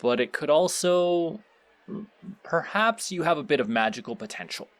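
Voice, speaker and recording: A man's voice: a little high, expressive, natural voice. Man playing a courteous, formal supervillain boss. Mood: intrigued, measured. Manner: polite, formal, measured, instructive. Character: courteous, surprisingly pleasant male supervillain boss. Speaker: voice actor in an audio roleplay. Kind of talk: audio roleplay acting, speaking to the listener.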